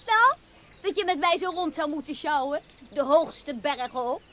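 An actress playing a lively young woman in a radio play, with a mocking, playful, goading manner. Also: high-pitched, unhurried, slight background noise. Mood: teasing, gleeful.

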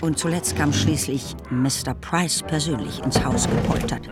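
A woman's voice: sits low, moderate pace. A woman reading scripted literary narration as the narrator of a radio drama. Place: studio. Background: music.

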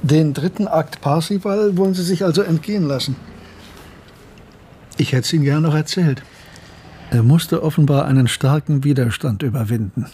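An actor playing a reflective, betrayed older man in a radio drama, telling his story in a measured, literary way. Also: a little high, dark tone, slight background noise, lightly acted. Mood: wistful. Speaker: narrator and lead character. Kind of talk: German radio drama monologue and dialogue.

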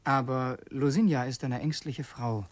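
An actor playing a young, educated revolutionary assassin in a German radio play, earnest and politely scheming, with formal, measured diction. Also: male voice, mid-range voice, steady tone, no room sound, close to an everyday voice. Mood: reflective, narrating.

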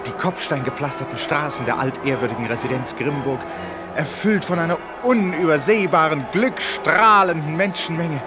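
An actor playing an eloquent storyteller in a radio drama, narrating in a florid, ceremonious and wry manner. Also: male voice, on the high side, natural voice. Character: eloquent, wryly festive storyteller of a royal novel. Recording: music behind.

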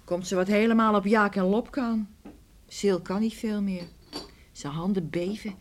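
A woman's voice: mid-range voice, fairly even intonation, measured pace, slight background noise, close to an everyday voice. A woman playing a concerned, plain-spoken village neighbour in a radio drama, talking in a subdued, sighing, rustic way. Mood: grave, concerned.

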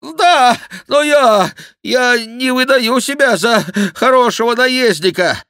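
A man's voice: very high-pitched, slow pace, pushed and bright, clean tone, dry recording, clean studio sound, pitched above the performer's own voice, heavily acted. A man voicing a panicky, whining monk in an audiobook, crying out plaintively and full of grievance. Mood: breathless complaining. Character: panicky, whining monk, clumsy rider, aggrieved and fearful. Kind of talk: audiobook character voice.

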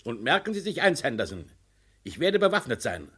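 An actor playing a stern, commanding mine boss in a radio crime drama, with a biting, formal authority. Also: male voice, medium pitch, big pitch swings, brisk, a bit bright, husky. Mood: stern, pressing. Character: stern, commanding male mine boss, sardonic toward his prisoner. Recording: old radio play with faint noise.

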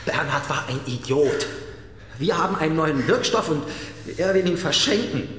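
Bitter, higher-pitched resentful brother. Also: male voice, expressive, a bit bright, echoey room, slight background noise. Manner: halting, defensive, broken phrases. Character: bitter, resentful younger brother confessing to a killing. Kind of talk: radio drama acting.

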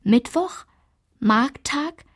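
An actress narrating rules and village routines in a radio play, in a clipped, matter-of-fact literary recitation. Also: female voice, high-pitched, lively intonation, extremely slow, exaggerated voice.

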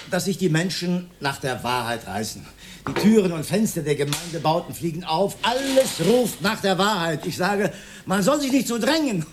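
An actor playing a rambling, pedantic old socialist freethinker with an Austrian accent, in a theatrical radio drama style. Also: male voice, fairly high pitch, noisy background. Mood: dreamy, preaching. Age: older. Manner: rhetorical, declamatory, given to rambling reminiscence.

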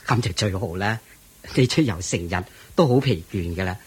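A man playing an anxious, commanding king and father in a Buddhist radio drama, his voice husky. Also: sits low, animated, moderate pace, full character voice. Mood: subdued, evasive.

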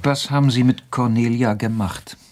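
An actor in a radio play, playing a wry, persistent drinking companion who coaxes and prods in short, dry replies. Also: male voice, medium pitch, fairly even intonation, moderate pace, darkish tone, close to an everyday voice. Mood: probing, coaxing.

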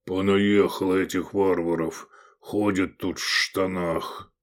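A man's voice: low voice, level tone, takes it slowly. A man voicing a burly, proud Roman cook in an audiobook, growling and grumbling. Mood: sullen grumbling.

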